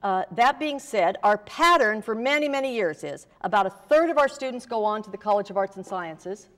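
A woman in her sixties, a college dean, moderating a panel from a lectern in a large auditorium, measured and with frequent fillers. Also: mid-range voice, expressive, fairly bright tone. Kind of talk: moderating an open house panel. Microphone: lectern microphone. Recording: long reverb of a hall.

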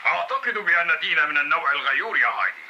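A gentle but gruff old mountain grandfather from a cartoon, patient and teasing. The voice is fairly high and quick, on a dull soundtrack with clear noise. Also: expressive, heavily acted. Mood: patient explaining. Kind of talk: Arabic cartoon dubbing. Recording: muffled, very noisy old soundtrack.

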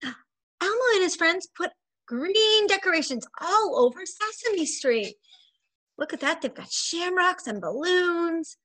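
A woman in her forties, a preschool teacher, reading a picture book aloud to young children in an expressive, sing-song manner. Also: extremely high pitch, slow pace. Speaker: preschool teacher and story time host. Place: small indoor room at home.

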